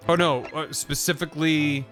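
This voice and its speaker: A man's voice: a little high, fairly even intonation, normal speed, a bit bright. A young man streaming a game, joking and rambling as he plays, over game music. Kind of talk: game let's-play commentary.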